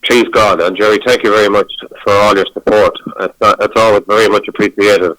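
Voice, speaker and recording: Brief: low-pitched middle-aged man on the phone, dry sound, rambling guest chat. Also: fairly even intonation, a little fast, no room sound, rough recording. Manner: rambling, warm self-talk with many restarts. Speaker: singer, interview guest. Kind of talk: casual phone interview.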